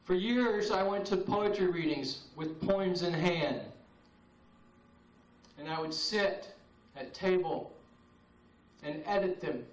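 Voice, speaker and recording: A man performing spoken-word poetry at an open mic, with a slow, solemn, incantatory delivery and long pauses. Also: high-pitched. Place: open mic night in a cafe. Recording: roomy, distant VHS tape recording.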